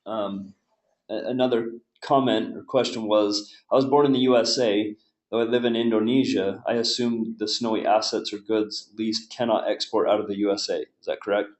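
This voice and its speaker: A man hosting a webinar, asking questions in a hesitant way with frequent pauses and restarts. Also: on the low side, steady tone, reverberant. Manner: hesitant, many fillers and restarts. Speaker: webinar host. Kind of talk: webinar moderation.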